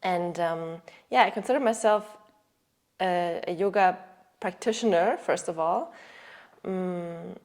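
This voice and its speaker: A woman in her thirties from Germany, a yoga teacher chatting casually in a podcast interview. She speaks in a slightly low voice and often stops to search for words or restart a phrase. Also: slow, a little mellow.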